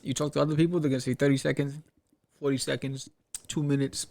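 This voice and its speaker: A man hosting a podcast in a casual solo talk, rambling in an animated way and often throwing out rhetorical questions. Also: mid-range voice, fast, gravelly. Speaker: podcast host. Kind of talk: casual solo talk to camera or mic about crowdfunding.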